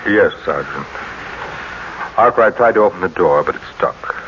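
Give this one a ruthless, jealous American husband in an old radio thriller. His voice sits low, with formal, sardonic menace. The recording is dull and hissy, clearly vintage. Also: animated, moderate pace. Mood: feigned grief. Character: ruthless, possessive, coldly jealous husband and killer. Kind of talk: old-time American radio drama acting.

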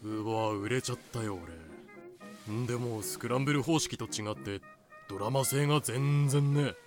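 A man's voice: mid-range voice, fairly even intonation, moderate pace, darkish tone, lightly acted. Man as timid, grumbling everyman slave. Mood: resigned. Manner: hesitant, wry complaining retorts. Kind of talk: fan-made voice drama acting. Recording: music behind the voice.

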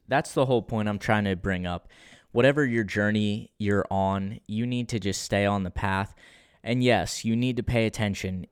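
Low, smooth, monotone male podcaster. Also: no echo, clean studio sound. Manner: monotone, low-key and unexcited; conversational with frequent restarts. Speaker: podcast host. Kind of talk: solo podcast monologue.

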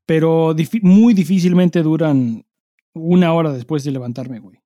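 A man's voice: a little high, normal speed, dark tone, no echo, studio quality. A man with a Mexican accent co-hosting a podcast in casual, crude, slangy banter. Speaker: podcast co-host. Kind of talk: casual chat.

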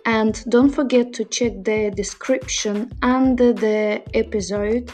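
Eager woman host, fairly high and mellow, over faint music. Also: steady tone, slow. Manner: eager, enthusiastic. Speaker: podcast host. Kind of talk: casual interview chat.

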